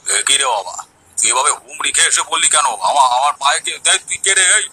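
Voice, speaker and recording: A man's voice: on the high side, animated, fast talker, bright, forceful tone, breathy edge, soft background music, heavily acted, low-quality recording. A man playing a grumpy wandering holy man in a cartoon story, flustered and startled. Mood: startled, irritated. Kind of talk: Bengali animated moral story acting.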